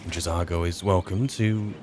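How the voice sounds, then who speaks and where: British man, lowish voice, wry muttered asides, casual game roleplay commentary, background music. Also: fairly even intonation, normal speed. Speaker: player narrating a roleplay of a fantasy video game.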